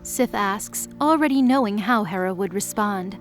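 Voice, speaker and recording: A woman's voice: mid-range voice, normal speed. A woman reading scripted present-tense story narration as the narrator of an audio drama. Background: background music.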